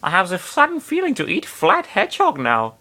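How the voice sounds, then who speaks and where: A man's voice: high-pitched, huge pitch swings, brisk, pushed and bright, voice pushed up, full character voice. A man voicing a cheerfully sinister young cartoon climber in a put-on German accent with a stiff, clipped delivery. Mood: eerie hunger.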